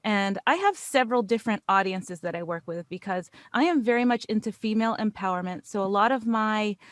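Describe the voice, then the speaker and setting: A woman's voice: mid-range voice, a little fast, dry recording, studio quality. An American woman, a voice actor, chatting casually as a guest in a podcast interview. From United States.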